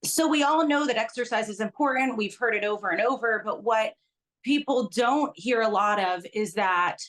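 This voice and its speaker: A woman's voice: a little high, moderate pace, a bit bright. A Belgian-born woman, a business owner, earnestly explaining her work in a casual interview over a video call.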